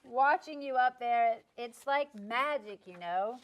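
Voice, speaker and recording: Woman acting a frank old flame, higher voice. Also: slow, rough recording. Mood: admiring. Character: grown-up old flame of a rock star, wistful, frank. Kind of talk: script table read acting.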